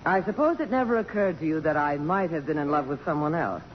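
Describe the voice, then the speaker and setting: A woman's voice: deep voice, expressive, quick pace, dull audio. Casting: strong-willed congresswoman, emotional and commanding, 1950s radio play, faintly noisy vintage broadcast sound. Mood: guarded, firm. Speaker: radio actress. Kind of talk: 1950s radio drama acting.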